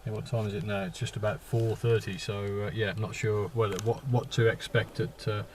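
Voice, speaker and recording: A man chatting as an amateur radio operator in a halting way, repeating words as he goes and using call signs. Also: fairly low pitch, flat delivery, a little hiss or hum. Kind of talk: casual radio contact chat with call signs. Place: inside a parked vehicle.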